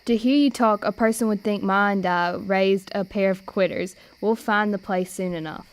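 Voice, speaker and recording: A clear, smooth voice for a plucky, determined girl, played by a young woman. She is plain-spoken and folksy, in the style of an amateur radio play. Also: medium pitch, steady tone, moderate pace, close to an everyday voice. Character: plucky, determined red-haired girl, older sister.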